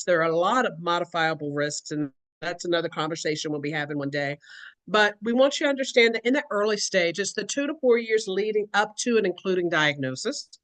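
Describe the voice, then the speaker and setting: Woman, educator, earnest explanatory talk, acts out examples. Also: fairly low pitch.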